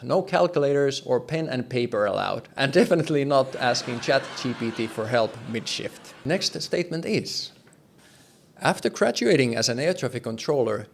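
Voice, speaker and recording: A man's voice: medium pitch, gravelly. A man presenting a scripted explainer in a conversational, plain-spoken way, with emphasis and light wryness. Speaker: host, air traffic control explainer.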